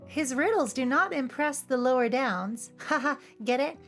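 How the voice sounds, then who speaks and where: A woman's voice: sits high, animated, normal speed. A woman giving scripted, humorous commentary as a video essay host, with playful, cheeky asides. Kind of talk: scripted, humorous commentary over cartoon clips. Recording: music behind the voice. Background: background music.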